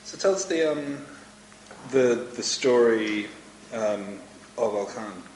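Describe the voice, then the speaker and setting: A man's voice: mid-range voice, lively intonation, slow, a little mellow, slight background noise. A man hosting a live talk show event, introducing a guest with frequent ums. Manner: hesitant, many ums. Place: event hall with audience. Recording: echoey room with faint ambience.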